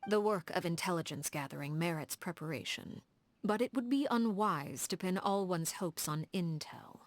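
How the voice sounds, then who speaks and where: A woman playing a coolly elegant, formal leader of agents in a video game, speaking in a composed, measured and refined way. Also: lowish voice, a little hiss or hum, natural voice. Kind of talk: scripted video game character dialogue.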